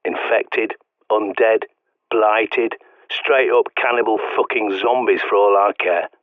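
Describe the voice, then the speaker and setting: An actor playing a blunt, sweary, paranoid survivalist mentor in a video game, with an English accent, heard through a radio filter. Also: male voice, fairly low pitch, steady tone, slow pace, no room sound. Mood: stern, blunt. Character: gruff paranoid doomsday survivalist man, bossy mentor. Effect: radio transmission filter. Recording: muffled narrow-band radio sound.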